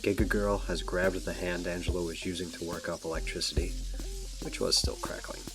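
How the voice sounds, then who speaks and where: A man with a deep voice narrates a scripted audio drama. Music runs clearly behind his reading. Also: steady tone, brisk. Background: background music.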